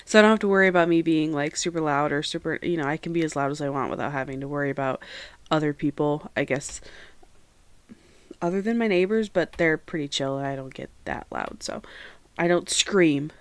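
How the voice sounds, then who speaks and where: A woman's voice: low voice, brisk, smooth, dry recording. Young female podcast host, nasal with a cold, rambly and talkative, clean dry recording. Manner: chatty, rambling, self-aware about yapping. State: stuffy head cold, nasal. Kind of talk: casual solo podcast chat. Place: own room at home.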